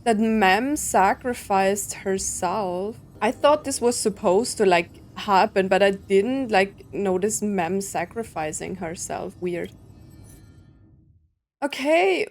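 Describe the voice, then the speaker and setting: A woman's voice: medium pitch, slow pace, slight background noise. A young woman streaming a story game, chatting casually and saying "like" and "right" a lot. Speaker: gameplay streamer. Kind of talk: casual reaction commentary. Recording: voice over game music.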